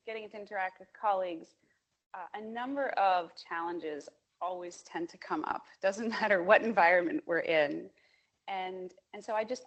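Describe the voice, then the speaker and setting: Chatty woman moderating a panel. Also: mid-range voice. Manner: friendly, chatty, with small laughs and fillers. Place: conference hall at a forum.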